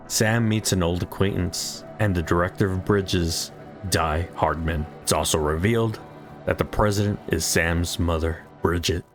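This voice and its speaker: A man with a low voice delivers scripted narration, retelling a story step by step as a recap host. Music plays behind his voice. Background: background music.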